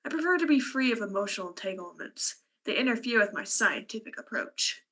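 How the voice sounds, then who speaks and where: A woman's voice: on the high side, animated, fairly quick, pushed and bright, low-quality recording. A woman playing a reserved, detached scientist and doctor in a radio play. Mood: guarded. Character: reserved, detached female scientist, war survivor.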